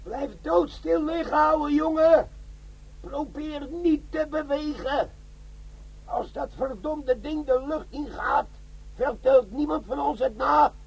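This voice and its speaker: An actor playing a brash, wry older bomb disposal sergeant in a comic crime radio play, sardonic and fond of lecturing. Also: male voice, very high voice, takes it slowly, creaky, heavily acted, low-quality recording. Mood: alarmed, commanding.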